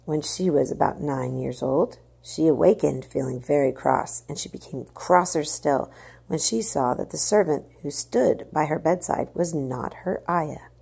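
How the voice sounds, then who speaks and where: A woman's voice: sits low, unhurried, vocal fry, mellow, husky. A woman reading a children's story aloud with dramatic, emphatic expression. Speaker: storybook reader.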